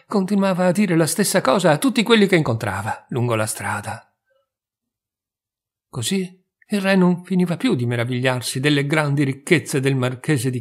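A man reading a fairy tale aloud as a storyteller, with animated, expressive narration. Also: mid-range voice.

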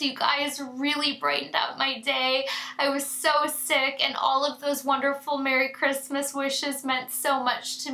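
A woman's voice: high voice, pushed and bright, echoey room. A woman in her thirties, congested and nasal from a sinus infection, chatting effusively to camera in a casual beauty review at home. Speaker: beauty vlogger. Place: home room lined with makeup shelves.

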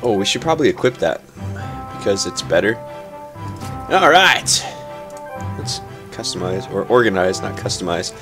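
A man's voice: on the low side, normal speed, long pauses. A young man hosting a casual let's play, chatty and playful, a little stuffed up. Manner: chatty, playful, easily excited. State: slightly stuffed up. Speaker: gaming channel host. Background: game music.

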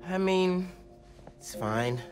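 Teenage boy superhero, fairly high lively voice, earnest, awkward, hesitant, game cutscene, music behind. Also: very slow, full character voice. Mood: awkward, gentle. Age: young adult. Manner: hesitant, stammering when flustered. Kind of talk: naturalistic video game acting.

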